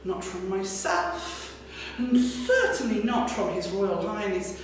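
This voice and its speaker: A woman voicing a stately, officious royal chamberlain in a folk tale, speaking formally and with deliberate emphasis. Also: sits high, darkish tone, pitched above the performer's own voice. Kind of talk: live folk-tale storytelling. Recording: very echoey hall, distant mic, faint noise.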